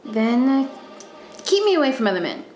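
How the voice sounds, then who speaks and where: A woman's voice: high-pitched, sing-song, normal speed, darkish tone. Echoey, guarded young woman student, acted. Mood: anxious, wounded. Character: stressed young female student, guarded, moody, intense. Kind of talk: radio thriller dialogue. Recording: echoey room, prominent music underneath.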